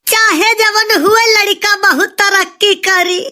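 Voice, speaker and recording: Sharp-tongued village mother for cartoon comedy: extremely high, bright voice, Awadhi accent, argumentative scolding. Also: steady tone, slow pace, heavily acted. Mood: protective. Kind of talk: comic cartoon dialogue.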